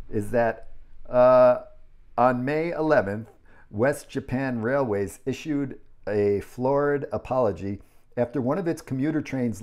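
An American man in his sixties giving casual commentary as he reads an article aloud, halting often and rambling into asides. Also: mid-range voice, darkish tone. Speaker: vlogger. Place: indoors in a small home kitchen.

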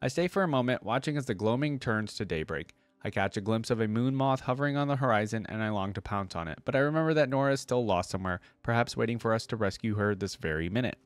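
A man reading aloud as a gentle magical cat narrating a storybook tale, measured and expressive. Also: medium pitch, no room sound, close to an everyday voice. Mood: wistful, tender.

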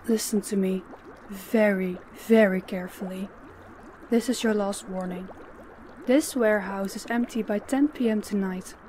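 A woman playing a stern, menacing female boss in an audio drama, speaking in a commanding, deliberate way. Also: mid-range voice, fairly even intonation, dark tone, a little hiss or hum, lightly acted. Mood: cold, threatening. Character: stern, menacing female crime boss posing as business owner.

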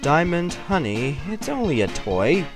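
A mild cartoon dad pony acted by a male reader, patient and fond as he placates. The pitch sits raised, and music plays clearly behind. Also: wildly swooping pitch, a little slow, exaggerated voice. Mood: patient, coaxing.

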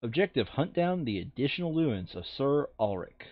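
A man's voice: mid-range voice, big pitch swings, moderate pace, dry recording, highs cut off. A man chatting casually through a board game, counting moves and reading out rules.

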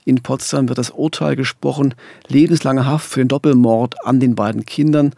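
A middle-aged man hosts a true-crime podcast in with a somewhat husky voice. He explains things in a scripted narrating style. The studio sound is close and dry. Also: medium pitch, fairly even intonation, normal speed, warm tone, no room sound. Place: small dark podcast studio. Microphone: close studio microphone with headphones.

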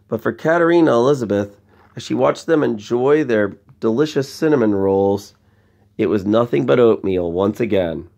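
Creaky-voiced man reading a bedtime story. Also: medium pitch. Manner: expressive, addresses young listeners with questions. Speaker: storyteller reading a picture book aloud to children. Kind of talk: bedtime story read-aloud with conversational asides.

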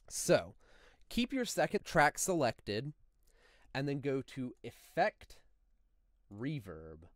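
A man narrating a step-by-step software tutorial in a deliberate, instructional manner, as a close, dry voice-over. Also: mid-range voice, no echo. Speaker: tutorial host.

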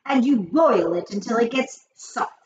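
A woman voicing the cruel, boastful leader of witches in a storybook, with a commanding, grandiose and drawn-out delivery. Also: on the high side, expressive, a little mellow, highs cut off. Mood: boastful, instructing. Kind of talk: storybook character voice.

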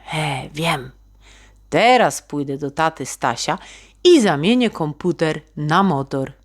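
An eager, dreamy little boy from a storybook, voiced by a woman reader. He is earnest and excitable, full of plans. His speech rises and falls in big, lively swings. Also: low-pitched, takes it slowly, heavily acted. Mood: scheming, proud. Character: eager, dreamy young boy set on a motorbike.

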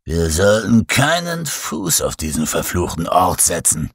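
A dark, foreboding old sailor , as a man puts him on, telling grim tales in a horror audiobook. His voice is gravelly and full of ominous warning. It swings widely in pitch and sits dry and close to the mic. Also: sing-song, unhurried, no echo, exaggerated voice.

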